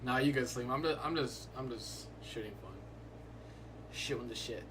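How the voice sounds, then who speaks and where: Young guy, easy-going streamer, mid-pitched voice, loose rambling delivery, faint hiss behind. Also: normal speed. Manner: laid-back, rambling, fills talk with "like". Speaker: livestreaming day trader. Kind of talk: casual live-stream chat.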